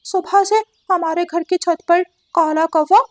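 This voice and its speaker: A woman voicing an excitable, gullible male parrot husband in a cartoon, eager and repeating himself. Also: extremely high pitch, unhurried. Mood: excited. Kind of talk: Hindi cartoon story dialogue.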